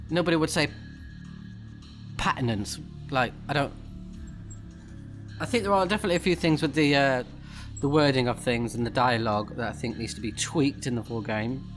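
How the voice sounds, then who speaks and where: A man's voice: a little high, fairly bright tone. Casual male let's play commentator. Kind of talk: casual game commentary, reacting and reading text aloud.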